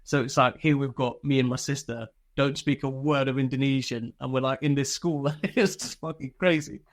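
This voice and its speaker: A man's voice: medium pitch, steady tone, moderate pace. A man telling personal stories casually as an interview guest, chatty and informal, swearing freely and often chuckling. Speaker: interview guest, former soldier.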